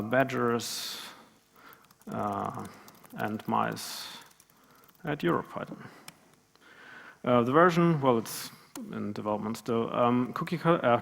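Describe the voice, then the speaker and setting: Gravelly man demoing code in a hall. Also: medium pitch. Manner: hesitant, many fillers and restarts. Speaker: conference speaker. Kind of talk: live coding demo talk. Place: large conference room. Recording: long hall reverb.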